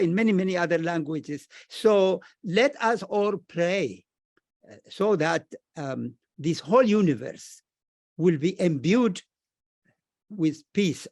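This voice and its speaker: A high-voiced man with a gravelly creak speaks in a close, dry recording. He is halting and repetitive, offering slow, reflective remarks that sound like a blessing. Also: no room sound. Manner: halting, repeats words and phrases. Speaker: scholar, panelist.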